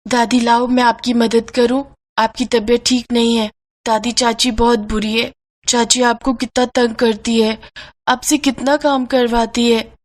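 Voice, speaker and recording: A woman's voice: on the high side, little pitch movement, measured pace, lightly acted. A woman voicing an innocent, worried little orphan girl in a story, earnest and pleading. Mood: concerned.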